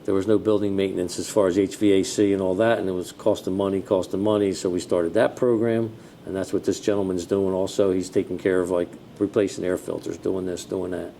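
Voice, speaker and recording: A man's voice: brisk, gravelly. A middle-aged American man, a fire chief, answering interview questions in a plain-spoken, matter-of-fact, conversational way. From New Jersey, United States. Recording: steady background noise with low rumble.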